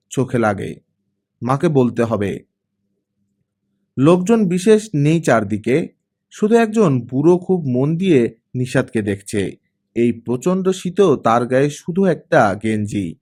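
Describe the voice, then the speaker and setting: A man's voice: mid-range voice, a little mellow. A man narrating a scripted story expressively as an audiobook reader.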